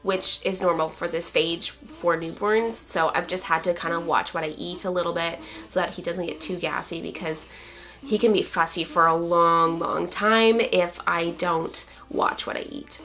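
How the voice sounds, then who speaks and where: Slightly raspy young mother chatting. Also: lowish voice, fairly even intonation, normal speed, a bit bright, faint music behind. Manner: open, chatty, relieved, many likes and so's. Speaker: vlogger, new mother. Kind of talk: casual vlog chat.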